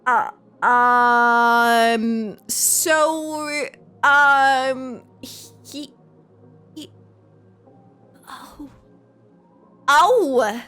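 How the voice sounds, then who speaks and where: A woman streamer reacts casually to a game in a high, bright voice. She speaks slowly and haltingly, thinking out loud with faint game music behind her.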